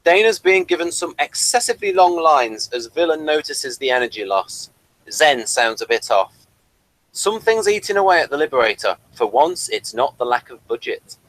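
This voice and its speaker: A man's voice: on the high side, a bit bright, no room sound, low-quality recording. A man giving a dry, droll reading of his review notes as a podcast host.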